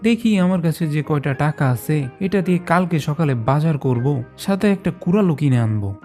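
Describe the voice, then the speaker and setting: Man voicing a whiny, higher-pitched greedy woodcutter. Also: lively intonation, a little fast, music in the background, natural voice. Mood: self-pitying, worried. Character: greedy dishonest woodcutter, whining and self-pitying. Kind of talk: animated folk-tale dialogue.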